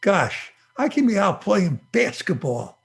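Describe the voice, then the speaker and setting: A man's voice: sits high, sing-song, measured pace, creaky, husky, heavily acted. A man playing a cheeky, mischievous young schoolboy nephew in an old-time radio comedy. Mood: complaining. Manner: cheeky, complaining, playful.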